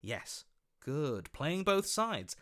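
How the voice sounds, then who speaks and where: A man voicing a scheming, flattering opportunist in a video game, with an oily, ingratiating and theatrical delivery. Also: on the high side, extremely slow, full character voice. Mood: smug, flattering.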